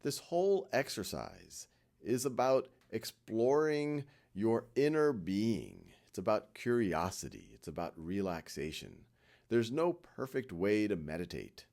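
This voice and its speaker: Guy doing guided meditation, lowish voice that rises and falls a lot, gentle and reassuring w lots of pauses. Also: very animated, vocal fry. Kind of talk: guided meditation, unhurried spoken instruction.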